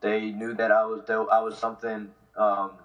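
A man's voice: fairly low pitch, monotone, normal speed, dull audio. A man in his twenties from South Korea and New Jersey, giving casual, easygoing answers in an interview. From South Korea, then New Jersey from sixth grade. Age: 20s. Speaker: interview guest, football kicker. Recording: voice distant from the mic.

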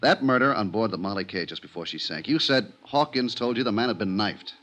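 A man acting a weary, level-headed American police inspector in a 1950s radio drama, measured and reflective. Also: on the low side, animated, fairly bright tone. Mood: persuasive, emphatic. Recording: noisy 1950s radio recording.

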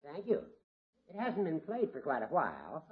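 Old small town judge, genial, drily funny, very lively pitch, muffled old radio. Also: male voice, moderate pace. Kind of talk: 1950s radio comedy acting. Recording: dull lo-fi old radio recording.

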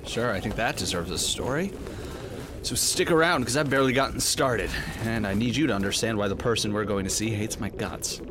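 A man's voice: fairly low pitch, brisk, background noise, close to an everyday voice. An actor playing a prickly, sarcastic, jittery male detective in a noir audio drama. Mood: wry. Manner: sarcastic, grumbling, flustered.

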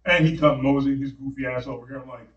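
A man's voice: medium pitch, quick pace, highs cut off, a little hiss or hum. A man, around his thirties, who tells stories with energy and acts out the voices of the people in them. He sits a little away from the mic, and the room gives his voice a noticeable echo. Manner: animated storyteller acting out quotes. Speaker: podcast co-host. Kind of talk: casual storytelling chat. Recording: roomy, slightly distant mic.

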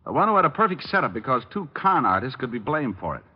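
An actor playing a suave, wisecracking private detective in a 1950s radio drama, in a wry, unflappable manner. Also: male voice, mid-range voice, sing-song, husky. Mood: dry, calm. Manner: wry, quick-witted, unflappable. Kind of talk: 1950s radio drama acting, hard-boiled narration. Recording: muffled vintage radio recording with music.

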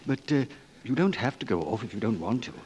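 An actor playing a vague, genial English aristocrat with an upper-class accent, stammering hesitantly in a 1940s radio comedy. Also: male voice, lowish voice, animated, fairly quick, darkish tone, breathy edge. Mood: hesitant, bumbling. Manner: dithering, stammering, mumbling hesitations. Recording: noisy old 1940s radio recording.

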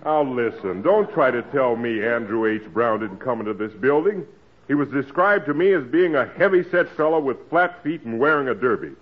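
An actor playing a suspicious, no-nonsense process server who questions bluntly in a 1940s radio comedy. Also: male voice, medium pitch, animated, moderate pace, lightly acted. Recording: dull old radio recording.

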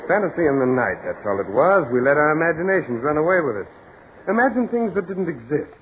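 A man's voice: fairly high pitch, sing-song, fast talker. An actor playing a proud, practical businessman fighting dread in 1940s radio horror, with theatrical, repetitive insistence. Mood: brooding, irritable. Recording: scratchy, tinny 1940s radio recording with noise.

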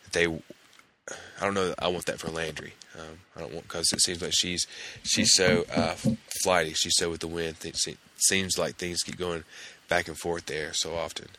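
A male podcast host recapping a TV episode in a casual, hesitant way, his voice hoarse and gravelly from a cold. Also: low-pitched, flat delivery, normal speed, pushed and bright, low-quality recording. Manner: hesitant, many fillers and restarts.

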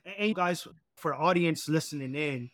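Male co-host from the United States, higher-pitched, curious and upbeat, dry sound. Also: normal speed, fairly bright tone, dry recording. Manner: upbeat, curious, repetitive phrasing. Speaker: podcast co-host. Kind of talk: podcast interview.

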